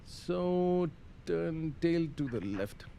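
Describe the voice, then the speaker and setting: A man's voice: fairly high pitch, lively intonation, drawn-out words, creaky, mellow. A man giving casual live-stream commentary on a flight simulator, muttering through procedures hesitantly with some radio phraseology. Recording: faint background noise.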